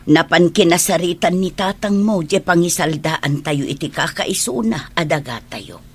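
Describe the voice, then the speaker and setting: A woman with an Ilocano accent playing a worried older mother in a radio drama, husky-voiced. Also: on the low side, moderate pace. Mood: worried, pleading.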